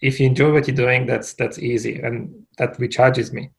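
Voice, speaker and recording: A man from Hungary talks through his story as a podcast guest. His voice has a gravelly, creaky edge at a medium pitch, and he keeps things relaxed and chatty. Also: normal speed. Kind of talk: casual interview answers.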